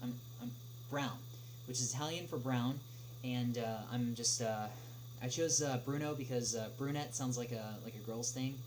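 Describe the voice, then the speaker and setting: A man chatting casually on a livestream as he answers viewer questions, speaking haltingly with long pauses. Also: mid-range voice. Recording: noisy livestream audio with clear hum.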